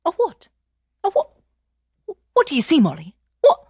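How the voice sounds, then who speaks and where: A woman voicing a nosy, fretful giant's wife who begs with high, breathless questions. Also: huge pitch swings, moderate pace, slightly rough, no echo, clean studio sound, full character voice. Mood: pleading curious.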